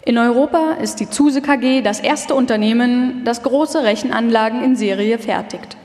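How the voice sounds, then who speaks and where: A woman's voice: fairly high pitch, moderate pace, a little mellow. A woman reading scripted documentary narration as the narrator of a live radio feature. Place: large reverberant hall. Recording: long hall reverb, live performance.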